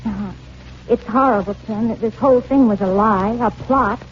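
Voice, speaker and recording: An actress playing a guilt-stricken, lovesick drama coach in a 1940s radio play, earnest, hesitant and melodramatic. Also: female voice, a little high, moderate pace, lightly acted. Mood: remorseful. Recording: muffled 1940s radio recording with noise.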